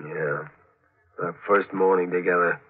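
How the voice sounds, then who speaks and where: An actor playing an anxious, suspicious young newlywed husband on the run, in the style of 1940s radio drama. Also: male voice, deep voice, steady tone, unhurried, slightly rough. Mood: weary, tender. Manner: edgy, suspicious. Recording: narrow-band muffled old radio recording.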